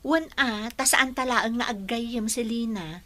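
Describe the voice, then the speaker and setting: A woman's voice: on the high side, a little slow, a bit bright. An actress with an Ilocano accent playing a caring young female confidante in a radio drama. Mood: concerned, consoling.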